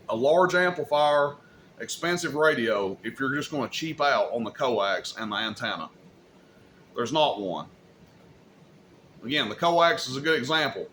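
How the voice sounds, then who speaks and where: A man's voice: a little high, fairly bright tone, slight background noise. A man giving an unscripted, folksy explainer as a video host, stressing and repeating his points and checking in with the listener. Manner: plainspoken, folksy wording. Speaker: CB radio shop video host. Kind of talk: unscripted explainer talk to camera.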